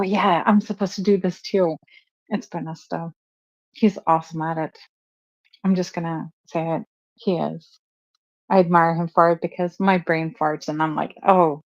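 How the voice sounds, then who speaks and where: A woman from Sweden, a native Swedish speaker, co-hosts a casual chat in a low voice. She talks in a chatty, gushing way with hesitant ums, and the audio is slightly muffled and dry. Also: darkish tone, dry recording. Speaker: co-host.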